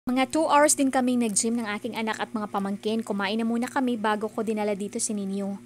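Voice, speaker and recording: A woman's voice: a little high, steady tone, quick pace, a little hiss or hum. A middle-aged woman, narrating her own vlog in a chatty, earnest, encouraging voice-over. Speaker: vlogger.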